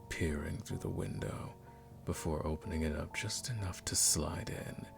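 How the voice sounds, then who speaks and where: A man acts out a seductive male vampire lord with an extremely low voice that crackles with gravel. He draws his words out slowly, teasing and condescending like a predator toying with prey, in an intimate ASMR audio drama. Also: exaggerated voice. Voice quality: deep, creaky, breathy at times. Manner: slow, teasing, drawn-out, condescending. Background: music.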